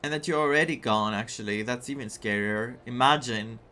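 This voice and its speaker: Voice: mid-range voice, moderate pace, bright, forceful tone, faint music behind. A streamer giving casual, reflective and emotive commentary during a story game playthrough, sometimes reading dialogue aloud.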